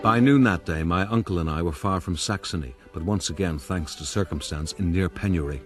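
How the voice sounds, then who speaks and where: An actor playing a proud gentleman rogue who narrates his adventures in a radio drama, measured and dryly pompous. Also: sits low, over music, close to an everyday voice. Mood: boastful, wry.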